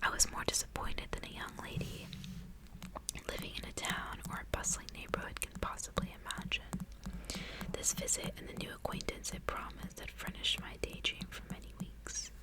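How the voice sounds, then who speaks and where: A woman reading aloud in a soft, intimate, breathy whisper, close to the microphone in an ASMR style. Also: normal speed, poor audio. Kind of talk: whispered ASMR audiobook reading with casual asides.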